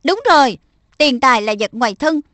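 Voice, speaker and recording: Woman as a high-pitched preachy little magic creature. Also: lively intonation, brisk, a bit bright, no echo. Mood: instructive. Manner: admonishing, instructive. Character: small magical lion-like pet spirit, preachy older-brother mentor. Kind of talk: cartoon dub.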